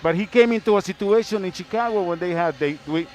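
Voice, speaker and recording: A man's voice: high voice, quick pace, a little mellow. A man hosting a sports broadcast, talking basketball conversationally and repeating words as he builds up his questions.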